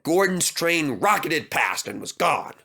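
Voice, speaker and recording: A man narrates a children's story from a script. His pitch runs a little high and moves widely, as befits a storyteller. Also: very animated, unhurried, vocal fry, sharp tone, husky.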